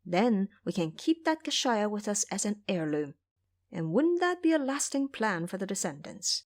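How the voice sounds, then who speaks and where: A woman's voice: mid-range voice, sing-song, normal speed, close to an everyday voice. A woman voicing a sly, ruthless young male monk, confident and scheming, in an audio drama. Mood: scheming, eager.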